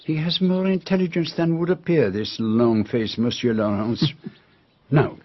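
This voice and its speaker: An actor playing a shrewd, grave Belgian detective with a French-speaking Belgian accent, speaking deliberately and theatrically in a radio drama. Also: male voice, medium pitch, lightly acted. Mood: shrewd, probing. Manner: deliberate, theatrical, precise phrasing. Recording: muffled radio drama recording.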